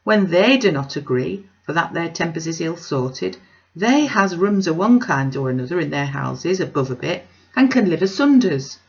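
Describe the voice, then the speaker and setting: A woman's voice: lowish voice, lively intonation, normal speed, a little mellow, muffled recording. A woman voicing an earnest, distressed working-class male weaver who speaks in broad dialect, in a storybook reading. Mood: aggrieved. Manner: earnest, broad Northern English dialect speech.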